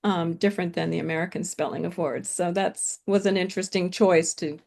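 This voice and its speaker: An older woman, an author and retired professor, talking in a relaxed interview and laughing easily. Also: on the low side, fairly quick, vocal fry. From British upbringing, lives in California. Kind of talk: casual interview conversation.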